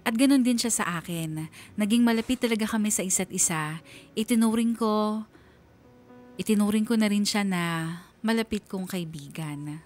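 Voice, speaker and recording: A woman's voice: medium pitch, moderate pace, long pauses, a little mellow, lightly acted. A woman playing an earnest young woman who narrates her own story in a scripted radio drama. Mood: reflective, troubled. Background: faint music.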